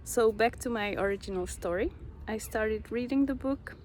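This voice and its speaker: A young Czech woman with a Czech accent, slowly sharing a personal spiritual story with many pauses. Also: on the high side, a little mellow, slight background noise. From Czech Republic. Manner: slow, reflective, pausing often. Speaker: vlogger.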